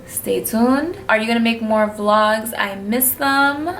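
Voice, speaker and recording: A woman's voice: fairly high pitch, slow pace, a bit bright, a little hiss or hum. A twenty-one-year-old woman from New City, answering viewer questions in a blunt, slangy, laid-back vlog. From New York City. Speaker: vlogger. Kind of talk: casual Q&A vlog.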